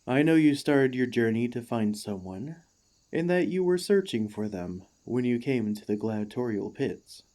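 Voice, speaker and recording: A man playing an earnest, devoted warforged ex-gladiator in an ASMR roleplay, speaking to the listener with formal, courteous, gently hesitant phrasing. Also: medium pitch, expressive, normal speed, close to an everyday voice. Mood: warm, tentative.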